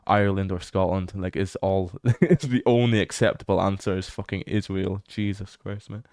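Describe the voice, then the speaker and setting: A young British man livestreaming as he explores a game. He chats casually, swears freely and bursts into excited exclamations. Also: sits low, moderate pace, dry recording.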